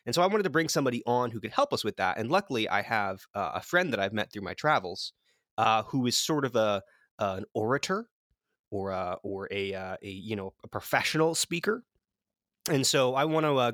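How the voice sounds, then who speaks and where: A man hosting a podcast in a casual, playful, excitable chatting style. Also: mid-range voice, no echo, clean studio sound. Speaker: podcast host.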